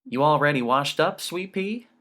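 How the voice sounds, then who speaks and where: A man's voice: a little high, expressive, moderate pace, bright, forceful tone, voice pushed up. Middle-aged family dad, read by a male narrator: affectionate, earnest, a bit goofy, audiobook fiction. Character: affectionate, jokey, forgetful middle-aged father, eager and earnest.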